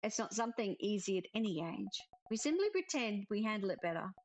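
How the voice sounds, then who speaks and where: A woman's voice: mid-range voice, big pitch swings, fairly quick, slight background noise. A woman reading the part of a witch's wise, tender mother, a spirit in a visual novel. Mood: reflective. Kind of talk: visual novel character read aloud.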